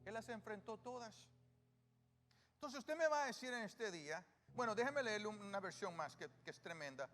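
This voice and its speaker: High-voiced Salvadoran pastor preaching. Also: male voice, bright, forceful tone. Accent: Salvadoran Spanish. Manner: call-and-response questions to the congregation. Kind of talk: sermon. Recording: reverberant church hall with music.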